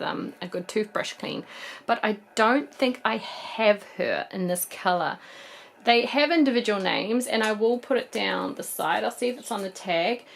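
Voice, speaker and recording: A woman, casually showing off secondhand plush toys in a chatty, gushing way. Her voice is a bit croaky from a cold. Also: mid-range voice, fairly bright tone. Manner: chatty, gushing over toys, frequent ums. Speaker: toy collector vlogger. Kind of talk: casual haul show-and-tell.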